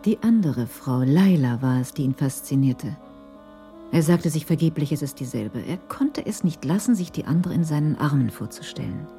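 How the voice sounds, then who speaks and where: A woman with a deep, dark-toned voice narrates a radio crime drama. Her delivery is measured and literary, with faint music behind. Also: expressive.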